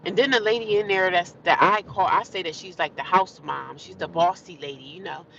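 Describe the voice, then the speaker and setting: A woman's voice: lowish voice, fairly quick, gravelly, lo-fi sound, a crowd in the background. A woman livestreaming from her car while driving, venting in a blunt, rambling, talkative way that circles back and repeats itself. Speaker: livestreaming vlogger.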